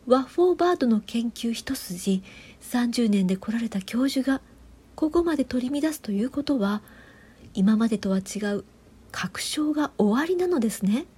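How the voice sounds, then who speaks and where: A woman acts as an earnest female TV reporter in a comic audio drama. Her voice is clearly high. She speaks politely, with the measured, attentive delivery of an interviewer. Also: animated, mellow. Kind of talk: comedic audio drama acting.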